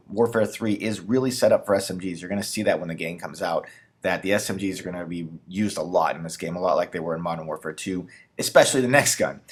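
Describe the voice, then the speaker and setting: A man in his thirties giving an enthusiastic, rambling gun breakdown for a game, slightly raspy as he loses his voice. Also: low-pitched. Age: 30s. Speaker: gaming YouTuber. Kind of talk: casual gun breakdown commentary.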